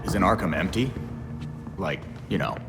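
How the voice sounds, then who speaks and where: Game vigilante, male, young: bass-leaning, sarcastic, rough-mannered, unhurried. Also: lively intonation, long reverb tail, music in the background. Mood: wry. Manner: sardonic, casual, profane. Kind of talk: video game voice acting.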